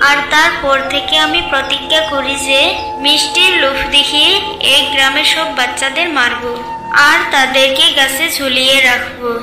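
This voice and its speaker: A woman acts out a vengeful mother's ghost from a cartoon folk tale. The voice is high and dramatic, full of menace. It rings with echo, and music plays clearly underneath. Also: fairly even intonation, normal speed, natural voice. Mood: bitter, vengeful. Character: vengeful ghost of a murdered mother, child-killer.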